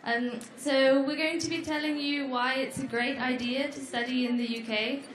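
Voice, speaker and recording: A young woman with a fairly high voice gives an easygoing talk at a fair. She speaks to the crowd in a friendly way, with small ums between points, and her voice rings through a large echoey hall. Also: fairly even intonation. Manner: friendly, explains slowly with fillers. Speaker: presenter. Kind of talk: informal presentation at an education fair.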